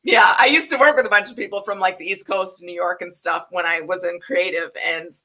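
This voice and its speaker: A woman from Wisconsin hosting a casual interview, chatty and quick to laugh. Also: fairly low pitch, steady tone, sharp tone. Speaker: host.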